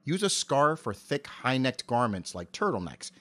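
A middle-aged man giving articulate, measured explanations as a voice actor being interviewed. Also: medium pitch, very animated, a bit bright.

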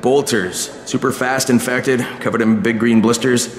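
An actor playing a wry, casual survivor hero in a video game. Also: male voice, medium pitch, steady tone, normal speed, lightly acted. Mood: businesslike. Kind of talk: video game cutscene acting.

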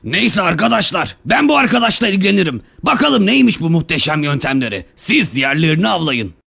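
Gravelly, animated cartoon virus boss. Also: male voice, high voice, fairly quick, noisy background, exaggerated voice. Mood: scheming. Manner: theatrical, sardonic. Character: cocky, scheming male virus boss, mocking and easily alarmed. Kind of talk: cartoon villain acting.

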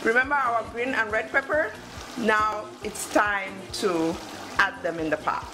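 A woman's voice: mid-range voice, measured pace, low-quality recording. Woman narrating a recipe over music. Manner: step-by-step instructing in short phrases. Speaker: cooking show host. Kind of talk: instructional cooking narration. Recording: music under the voice.

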